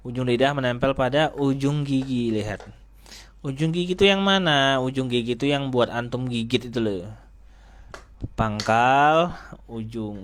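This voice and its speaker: A male Quran teacher explains how to shape each letter, demonstrating the sounds slowly and repeating them for practice. His voice sits at an ordinary middle pitch and his manner is relaxed, even joking. A faint hiss runs under the recording. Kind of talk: tajwid lesson explaining letter articulation.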